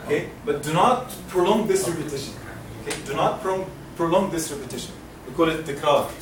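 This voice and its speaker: A man's voice: a little high, brisk. A man from Egypt teaching Quran recitation to a class, patiently modeling each sound and having students repeat it. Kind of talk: classroom lesson with call-and-response drills. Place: lecture classroom. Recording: roomy classroom, mic far off, noisy.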